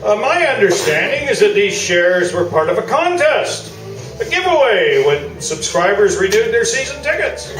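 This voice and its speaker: A pompous sports columnist in a comic radio play, acted by a man, with a high, very animated delivery. He pontificates with great self-satisfaction, heard at a distance in a roomy theatre. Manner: grandiose, pontificating. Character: pompous, self-satisfied male sports columnist, fond of Toronto's hockey establishment. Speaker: actor in a live radio play. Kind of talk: staged talk-show debate, comic radio play. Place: live theatre, mic at a distance. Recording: roomy distant stage recording, music behind.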